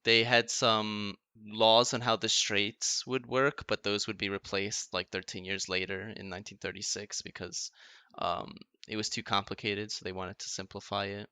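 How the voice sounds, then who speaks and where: Deep crisp-voiced young man explaining history. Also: flat delivery, moderate pace, bright, forceful tone, no echo. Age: young adult. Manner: explains events, loose with fillers. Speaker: podcast co-host. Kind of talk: casual history chat.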